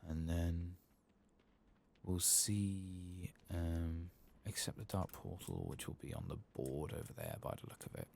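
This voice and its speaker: A soft-spoken man giving unhurried ASMR gameplay commentary, murmuring to himself and sometimes dropping toward a whisper, close to the microphone. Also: deep voice, animated, gravelly, a little hiss or hum. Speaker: gaming streamer.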